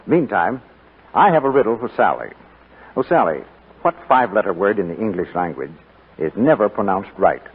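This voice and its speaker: Animated low-voiced old-time radio announcer. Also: male voice, moderate pace, slightly rough. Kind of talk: scripted 1940s radio commercial. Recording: muffled 1940s radio recording.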